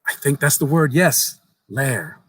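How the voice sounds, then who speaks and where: A man voicing a precocious, solemn little boy who explains things slowly and deliberately in a dramatic horror story reading. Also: mid-range voice, animated, fairly bright tone, husky, pitched above the performer's own voice. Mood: solemn, thoughtful.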